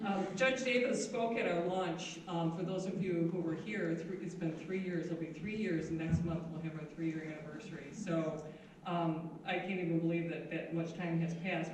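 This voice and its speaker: Woman reading an introduction in an echoey hall. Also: on the low side. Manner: earnest, warm, slightly nervous. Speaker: event organizer introducing the speaker. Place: meeting room. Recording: very echoey hall, distant mic, faint noise.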